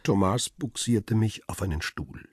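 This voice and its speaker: A weary photographer recounting events in the first person. His voice is very deep and husky, and his storytelling is measured and wry. The recording is dry and close. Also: darkish tone, no echo. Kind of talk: first-person narration in a radio drama.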